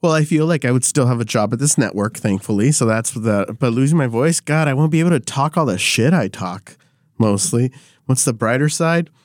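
A man's voice: medium pitch, lively intonation, a little fast, darkish tone, dry recording. Young guy, producer on a comedy game show, dry close mic, crude jokes and easy laughs. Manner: joking, swears freely, laughs a lot. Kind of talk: casual comedy game chat.

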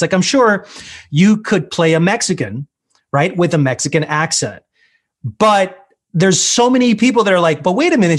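A man interviewing an actress, asking long, conversational questions that lay out the context before getting to the point. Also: high voice.

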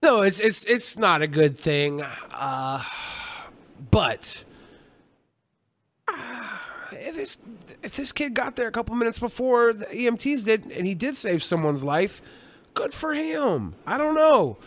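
Guy podcast cohost, pretty high voice, casual swearing, rambles and jokes around. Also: animated, takes it slowly, a bit bright, no room sound. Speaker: podcast co-host. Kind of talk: casual, profane chat.

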